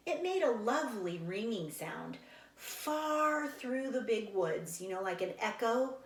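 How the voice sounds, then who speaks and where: A woman's voice: fairly high pitch, unhurried. A woman reads a storybook aloud, breaking off for casual explanations to young listeners. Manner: explains to young listeners, animated sound imitations. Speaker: read-aloud teacher. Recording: echoey room.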